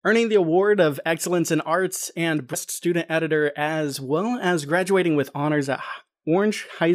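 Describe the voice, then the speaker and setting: A man hosting a podcast, reading a scripted introduction in an earnest, formal way. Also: a little high. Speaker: podcast host and interviewer. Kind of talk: scripted introduction read aloud.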